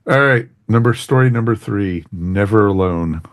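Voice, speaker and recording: A man with a low-pitched voice hosts a casual review chat. He speaks informally as he steers the discussion. The sound is dry, with very little echo. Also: normal speed. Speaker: podcast host.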